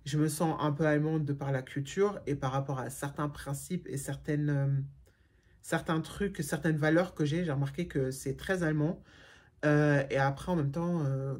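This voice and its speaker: A woman's voice: deep voice, darkish tone. A middle-aged woman from Germany chatting casually and frankly as a vlogger answering viewer questions, with many fillers and restarts. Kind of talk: casual chat.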